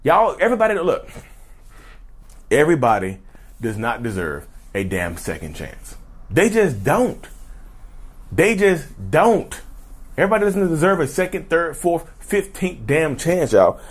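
Guy ranting to his viewers, all over the place pitch-wise, sidebars everywhere. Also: sing-song, a little slow, long pauses, husky. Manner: chatty, keeps addressing viewers as y'all; repeats and restarts, drifts into sidebars. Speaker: YouTuber talking about narcissism. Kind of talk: casual direct-to-camera talk.

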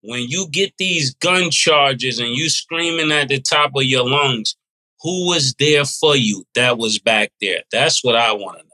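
A man's voice: mid-range voice, measured pace, pushed and bright, poor audio. A man co-hosting a podcast, casual and probing, asking pointed questions. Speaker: podcast co-host.

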